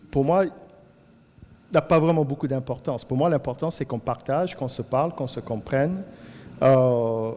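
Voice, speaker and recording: A man with a Cajun French accent from Louisiana gives an animated talk at a colloquium, often repeating words as he searches for the next one. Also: medium pitch, in a large hall. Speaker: colloquium speaker. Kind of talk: lively talk to an audience. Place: lecture hall.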